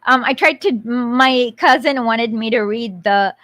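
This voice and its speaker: A woman streamer talking casually to her viewers in a rambling, quick way, often interrupting herself. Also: on the high side, a bit bright, no room sound, clean studio sound. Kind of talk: casual livestream chat.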